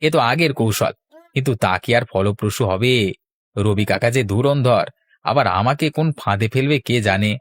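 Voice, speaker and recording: A man acts as an excitable village fellow from a cartoon. His voice has an average pitch and the sound is very dry, with no room to it. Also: normal speed, no echo, lightly acted. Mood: doubtful. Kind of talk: Bengali cartoon voice acting.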